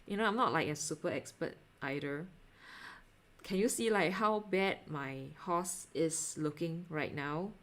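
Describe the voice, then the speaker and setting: A young woman with a Singaporean accent and a voice a little on the low side. She talks casually to a livestream audience while drawing, with halting, giggly, self-deprecating delivery. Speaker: art livestreamer.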